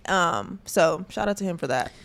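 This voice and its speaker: A woman's voice: fairly low pitch, expressive, moderate pace, creaky, a bit bright. Chatty young woman podcast co-host. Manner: chatty, laughs often, trails off with fillers. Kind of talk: casual chat.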